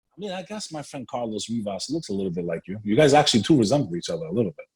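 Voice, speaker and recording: A man's voice: on the low side, very animated, rapid-fire. A male podcast host in conversation with a guest. He talks casually and streetwise, swears freely and circles back over his own phrases as he goes. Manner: streetwise, profane, repeats phrases. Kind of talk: casual interview.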